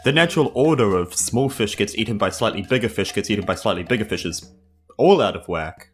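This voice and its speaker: A woman's voice: a bass voice, expressive, a little fast, faint music behind. A woman with a New Zealand accent playing a tabletop game, chatting and role-playing in a casual, wry way. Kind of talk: casual improvised role-play chat.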